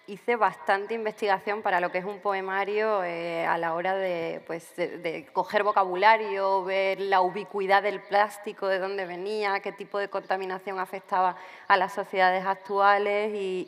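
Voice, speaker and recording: A woman's voice: medium pitch, fairly bright tone, in a large hall. A woman writer answering interview questions at a literature festival, thinking aloud with frequent restarts. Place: festival venue.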